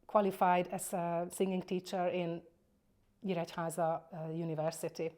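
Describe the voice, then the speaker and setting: A woman in her forties from Hungary with a Hungarian accent, a singing teacher introducing herself in a measured, slightly hesitant way. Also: lowish voice, little pitch movement, dark tone. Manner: measured, plain and a little hesitant. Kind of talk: personal introduction.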